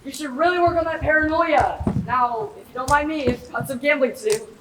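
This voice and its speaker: Sly, unruffled stage thief, played by a teenage girl, high voice, far from the mic. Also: a little fast, natural voice. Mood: smug. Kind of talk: theatrical stage acting. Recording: distant echoey stage, loud noise.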